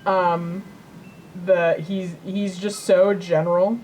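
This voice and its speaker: A woman's voice: fairly low pitch, little pitch movement, slow pace, a little mellow, a little hiss or hum, poor audio. A woman co-hosting a podcast in casual chat, rambling and repeating herself as she tells things. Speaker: podcast co-host.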